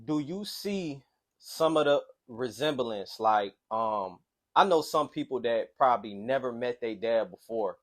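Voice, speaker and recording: A man's voice: mid-range voice, expressive. A man, hosting a casual interview with slangy, easygoing questions. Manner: easygoing, slangy, quick affirmations. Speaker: interviewer, host.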